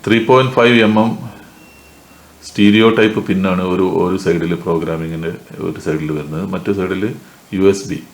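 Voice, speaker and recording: A man's voice: low-pitched, fairly even intonation, moderate pace. A man with a Malayali accent gives an unscripted technical tutorial in an instructional way, mixing in English technical terms. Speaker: tech tutorial presenter. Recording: echoey room with faint noise.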